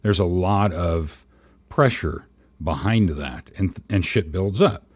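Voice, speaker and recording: A man's voice: low-pitched, slow, slightly rough, no echo. A man in casual livestream commentary, blunt and profane, rambling through his opinions.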